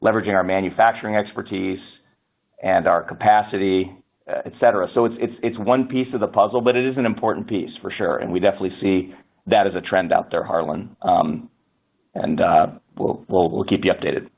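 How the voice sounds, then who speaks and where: A man speaking as a company executive answering analyst questions on an earnings call, halting and repeating words as he builds his answers. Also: deep voice, fairly even intonation, creaky. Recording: phone-like narrowband conference call audio.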